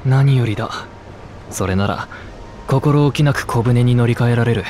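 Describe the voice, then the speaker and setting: A man's voice: mid-range voice, normal speed, a little mellow, slight background noise, natural voice. An actor voicing a stoic young elf swordsman who speaks in a curt, level way. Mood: steady.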